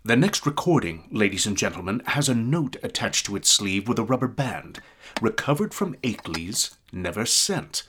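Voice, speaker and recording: An actor playing a presenter of archived recordings in an audio drama, formal, deliberate and slightly hesitant. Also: male voice, on the low side, sing-song, noisy background. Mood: measured, formal. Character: formal male presenter introducing recovered recordings.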